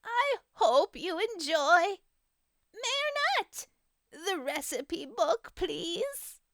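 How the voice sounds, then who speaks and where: A woman's voice: extremely high pitch, sing-song, drawn-out words, fairly bright tone, no room sound, studio quality, voice pushed up, exaggerated voice. A woman voicing an elderly grandmother animal with a shaky, warbling voice in a children's story. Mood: formal.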